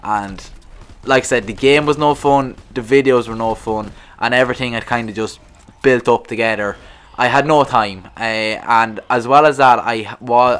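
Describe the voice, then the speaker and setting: A man's voice: medium pitch, a bit bright, smooth. A man in his twenties with a thick rural Irish accent, talking casually and rambling to camera as a gaming channel host. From Ireland. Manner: rambling, restarts, frequent "like I said".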